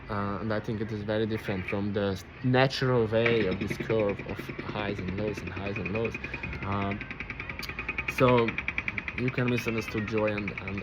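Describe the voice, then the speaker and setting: A man with a Hungarian accent and a lowish voice muses aloud in a rambling, reflective monologue, stopping and restarting as he hunts for words. The recording carries a clear wash of background noise, with vehicles passing now and then. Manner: halting, searching for words, many restarts. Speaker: vlogger.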